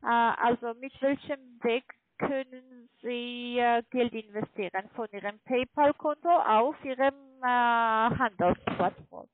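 High-pitched foreign woman on a tinny phone line. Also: no echo. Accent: foreign accent in German. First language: not German. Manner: halting, insistent, repeats herself. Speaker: call centre phone scammer. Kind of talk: scripted phone instructions in broken German. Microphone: telephone.

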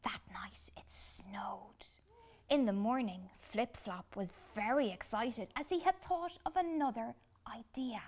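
A woman reads a children's picture book expressively, with deliberate dramatic pauses. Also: a little high, a little mellow. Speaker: children's storytime reader. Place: small furnished room indoors.

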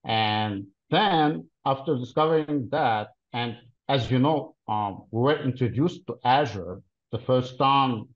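A man in his thirties from Lebanon, answering interview questions in a deliberate, explanatory way with frequent pauses, heard over a video call. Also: mid-range voice. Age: 30s. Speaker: interview guest, cloud solutions architect. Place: plain-walled room, indoors. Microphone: video call webcam. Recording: dull lo-fi video call audio.

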